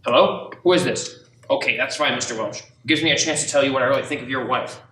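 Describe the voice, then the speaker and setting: A man's voice: medium pitch, fast talker, sharp tone, natural voice. A man playing a harried, short-tempered family man in a radio play, agitated and urgent. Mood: angry. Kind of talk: audio-only radio play acting. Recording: echoey room, faint noise.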